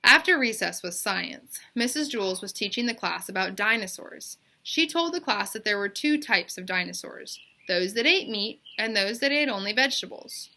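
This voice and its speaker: A woman reading a children's storybook aloud in expressive narration. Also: mid-range voice, moderate pace, vocal fry, few pauses. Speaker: storybook reader.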